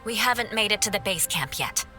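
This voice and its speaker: A woman's voice: mid-range voice, animated, sharp tone, soft background music. A woman voicing a composed, stern but protective young knight in an anime video game, with formal, precise speech. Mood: calm, formal. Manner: formal, precise, measured wording.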